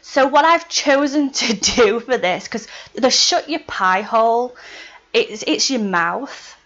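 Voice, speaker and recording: A woman's voice: fairly high pitch, expressive, takes it slowly, a bit bright. Chatty Yorkshire woman, slightly dull sound. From Yorkshire, England. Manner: chatty, laughs between points, often says "right" and "um". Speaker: witchcraft vlogger. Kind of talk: casual how-to vlog.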